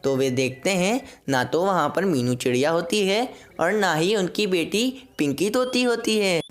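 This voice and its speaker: A woman reading scripted narration for a children's moral story. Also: lowish voice.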